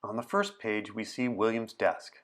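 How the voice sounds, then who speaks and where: A man's voice: on the low side, sing-song, normal speed. A middle-aged man, a children's book author, talking and reading in a storytime style with simple explanations and questions to his listeners.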